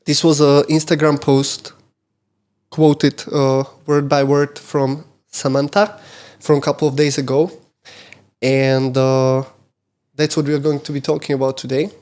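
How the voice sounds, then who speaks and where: A man hosting a podcast interview, who often pauses and restarts with fillers as he frames his questions. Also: a little high, level tone, a little mellow. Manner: halting, many fillers and restarts while framing questions. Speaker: podcast host.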